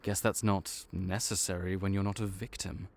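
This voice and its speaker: A man's voice: sits low, level tone, normal speed, creaky, a little hiss or hum. A man voicing a male vampire intake officer in an audio roleplay, matter-of-fact and wry as he walks through the paperwork. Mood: businesslike.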